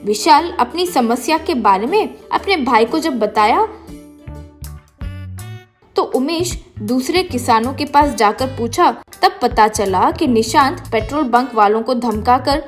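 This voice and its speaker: A woman's voice: high voice, lively intonation, a little slow, soft background music. A woman reading a scripted story as its narrator.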